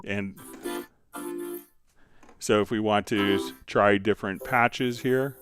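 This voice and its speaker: A man hosting a casual software tutorial, thinking aloud and hesitating as he demonstrates. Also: lowish voice, steady tone, slow pace, over music. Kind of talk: casual screen-share software tutorial, live stream.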